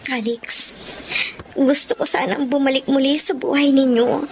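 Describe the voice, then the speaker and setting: An actress playing a remorseful estranged wife with a pleading, hesitant, emotional delivery in a Tagalog radio drama. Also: female voice, high-pitched, steady tone, normal speed, a little mellow, slight background noise, close to an everyday voice.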